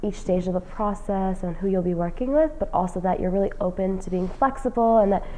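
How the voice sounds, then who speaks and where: A woman's voice: medium pitch, brisk, dark tone, slight background noise, low-quality recording. A young woman from New Jersey, a graduating college senior, answering interview questions in a casual, earnest way with many "um" fillers. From New Jersey, United States. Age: young adult. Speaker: graduating senior, fellowship recipient. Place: indoor lounge with plants and leather chair.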